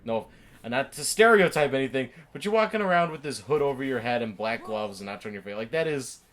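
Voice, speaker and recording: A man's voice: medium pitch, expressive, quick pace, a bit bright. A man co-hosting a gaming show, chatting casually and a bit sardonically as he nitpicks the game. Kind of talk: casual chat commentary over video game.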